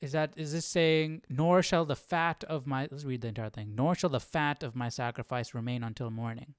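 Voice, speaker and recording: Guy doing casual bible study commentary, smooth clear voice, close dry sound, questions stuff and repeats himself. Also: medium pitch, moderate pace, no room sound. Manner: questioning, repeats phrases, checks in with "right?". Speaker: host of a live Bible study stream.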